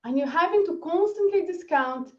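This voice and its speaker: A woman from Brazil with a Brazilian accent, giving an energetic webinar presentation and laughing often. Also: very high-pitched, normal speed, distant mic. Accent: Brazilian Portuguese. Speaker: marketing presenter. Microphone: video call. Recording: echoey dull video call audio.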